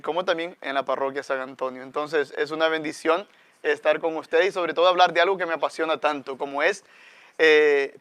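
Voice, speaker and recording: A middle-aged man with a Salvadoran accent, speaking as a guest friar. His voice sits a little high and sounds smooth and clear. He explains things earnestly, with frequent fillers, in a dry, close recording. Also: a bit bright, dry recording. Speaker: guest friar, parish priest. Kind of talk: conversational religious talk.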